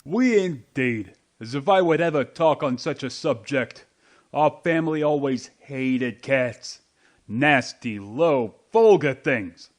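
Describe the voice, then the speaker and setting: A man's voice: mid-range voice, lively intonation, takes it slowly. A man playing a touchy, indignant talking mouse in a radio play, huffy and sputtering.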